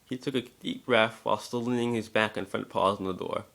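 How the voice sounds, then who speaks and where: Bright-voiced man narrating a story. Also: lowish voice, fairly even intonation, fairly quick, creaky. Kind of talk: scripted story narration, dramatic reading.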